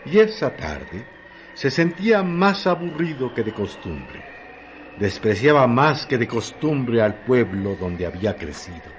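A man's voice: mid-range voice, very animated, a little slow, a little hiss or hum. A man, reading scripted narration for a radio drama.

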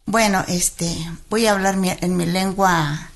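A woman from Tenejapa, Chiapas, a traditional midwife, speaking in an interview in a halting way with fillers and Spanish words mixed in. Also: mid-range voice, steady tone. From Tenejapa, Chiapas, Mexico. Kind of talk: recorded interview statement.

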